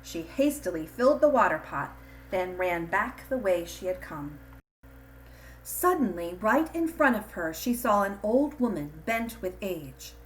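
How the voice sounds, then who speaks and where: A woman reading a scripted children's storybook in an expressive, deliberate storytelling manner. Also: mid-range voice, low-quality recording. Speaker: storybook reader.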